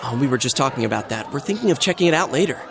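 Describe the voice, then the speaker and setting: An American man voicing an earnest, excitable teenage schoolboy in a video game, talking in a casual, expressive way. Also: medium pitch, very fast, gravelly. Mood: hesitant. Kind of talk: English video game voice acting. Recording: background music and crowd chatter behind.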